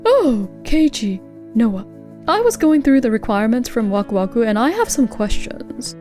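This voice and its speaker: A thoughtful, diligent young office newcomer, played by a woman in a manga dub. Her voice is high but soft-edged and mellow, moving expressively. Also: big pitch swings, moderate pace, soft background music. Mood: earnest. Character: thoughtful, diligent young female new employee. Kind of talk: anime-style manga dub acting.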